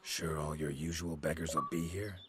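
An actor playing a gruff, middle-aged monster hunter in a video game, with a clipped, blunt, deadpan delivery. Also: male voice, extremely low pitch, normal speed, noisy background. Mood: curt, businesslike. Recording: music playing behind.

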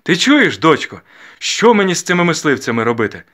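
A peasant father and head of the house from a storybook, performed by a male reader. He scolds with hearty mock sternness. His voice is raised and forceful. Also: a little high, big pitch swings, moderate pace, breathy edge, pitched above the performer's own voice, exaggerated voice. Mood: mock dismay.

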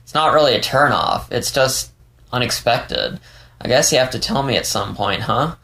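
A man's voice: mid-range voice, animated, normal speed, vocal fry, bright, forceful tone, pitched above the performer's own voice. A man voicing an eager, earnest and curious male dog character in an audiobook story. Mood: sheepish, curious.

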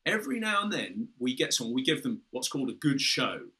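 A man's voice: medium pitch, sing-song, fairly quick, bright, forceful tone. A British man in his fifties on a video call, explaining at length as an interview guest, with frequent restarts and "you know". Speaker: guest, former fighter pilot. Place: room with a window and red curtains, indoors.

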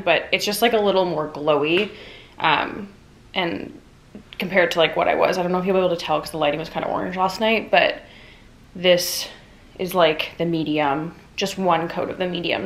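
A woman's voice: mid-range voice, reverberant, rough recording. A young woman chatting casually to her handheld camera in a vlog, full of fillers and candid, a little tired. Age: young adult. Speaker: vlogger. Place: home bathroom, kitchen and car, indoors. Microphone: handheld camera at arm's length.

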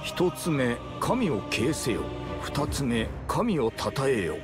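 Authoritative raid leader, widely swinging pitch. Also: male voice, big pitch swings. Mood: wary, solemn. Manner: measured, authoritative. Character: commanding, deliberate male leader of a hunter party. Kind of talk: Japanese game cutscene voice acting. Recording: music behind the voice.

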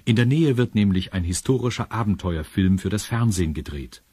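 A man's voice: fairly low pitch, expressive, a little fast, warm tone, husky, no echo. A man narrating a children's audio drama in a scripted storytelling style. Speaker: narrator of a children's radio play.

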